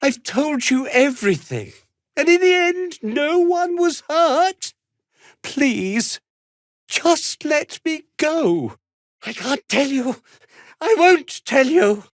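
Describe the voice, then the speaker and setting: A man's voice: very high-pitched, big pitch swings, slow, no room sound, studio quality, full character voice. A British man playing a fussy, self-important and slippery toy maker in a video game, speaking in a haughty, theatrical, wordy way. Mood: frightened pleading. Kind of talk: dramatic video game dialogue.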